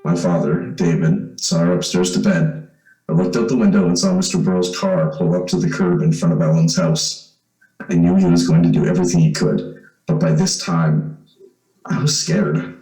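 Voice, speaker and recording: A man's voice: sits low, expressive, normal speed, dark tone, echoey room, soft background music, poor audio. An actor playing an evasive young man pleading his case in an old-time radio suspense drama. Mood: tense narration. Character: young man claiming to be long-lost son, evasive, pleading.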